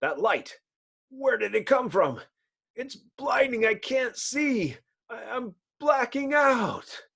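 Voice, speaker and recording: A man voicing an excitable male comic-book hero in a breathless, exclamatory, melodramatic reading. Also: very high-pitched, takes it slowly, vocal fry, husky, pitched above the performer's own voice, exaggerated voice. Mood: panicked. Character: excitable, heroic male scientist and young sidekick.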